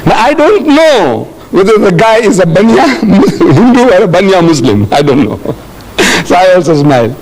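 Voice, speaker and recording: Older male lecturer from South Africa, animated storyteller with a bright, swooping voice. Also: sits high, normal speed, a crowd in the background, poor audio. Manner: animated, storytelling with acted-out dialogue. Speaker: Islamic lecturer answering audience questions. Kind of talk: lecture Q&A with audience.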